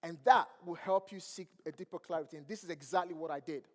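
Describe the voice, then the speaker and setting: A man's voice: a little high, quick pace. A man with a Nigerian accent, English not his first language, giving a motivational speech on stage and asking the crowd questions. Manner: preachy, asks the crowd questions. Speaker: motivational speaker. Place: large event hall. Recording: long hall reverb.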